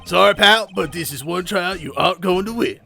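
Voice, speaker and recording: A man voicing a gruff, blustery but sentimental police detective in a video game, with folksy tough-guy talk. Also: high voice, brisk, pushed and bright, faint music behind, full character voice. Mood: grave, blustery. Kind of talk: improvised video game character voice.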